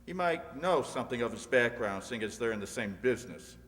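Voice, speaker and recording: A man's voice: mid-range voice, expressive, fairly quick, fairly bright tone, natural voice. Man playing a businesslike detective in an echoey hall. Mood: businesslike, doubtful. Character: practical, cautious male police detective partner. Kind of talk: radio crime drama dialogue.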